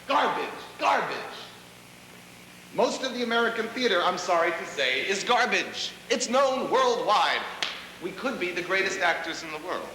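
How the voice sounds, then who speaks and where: Forceful, theatrical high-pitched male drama teacher. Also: animated, normal speed. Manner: theatrical, emphatic, demanding. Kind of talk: lecturing a drama class. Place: large high school classroom, indoors. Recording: hissy tape, echoey reverberant classroom.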